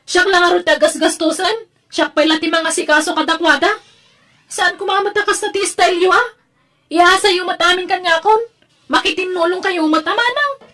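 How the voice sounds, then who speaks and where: A woman's voice: very high voice, normal speed, bright, forceful tone. A woman with an Ilocano accent acting a resentful, nagging young daughter in a radio drama. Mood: annoyed. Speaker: drama voice actor.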